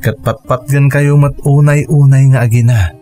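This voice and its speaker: A man playing a kind, devoted suitor and stepfather figure in a radio drama, gentle and sincere. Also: mid-range voice, steady tone, moderate pace, soft background music, close to an everyday voice.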